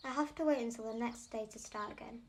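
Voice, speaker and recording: A woman's voice: on the high side, quick pace, noisy background, close to an everyday voice. A woman playing a bookish, anxious eight-year-old Yorkshire girl in an audio drama monologue, speaking earnestly and precisely. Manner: earnest, precise, matter-of-fact.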